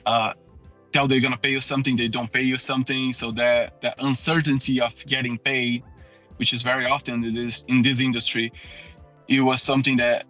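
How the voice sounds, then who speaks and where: A man in his thirties, originally from Brazil, talks with a clear Brazilian Portuguese accent and a bright, forceful voice. He speaks English as a second language, earnestly and with frequent restarts. Faint music sits behind him. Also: mid-range voice. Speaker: guest, door-to-door auto hail repair salesman. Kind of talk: casual podcast conversation.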